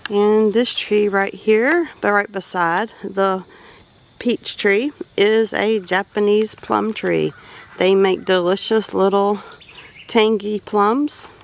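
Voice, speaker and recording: Woman, Southern US accent, folksy and rambling, casual garden-tour narration, mid-pitched, birds and farm animals behind. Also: steady tone, takes it slowly, gravelly. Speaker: homestead vlogger giving a garden tour. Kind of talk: casual unscripted walk-through narration. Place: outdoors in a homestead garden. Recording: noisy outdoor recording with animal sounds. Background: birds, roosters and farm animals.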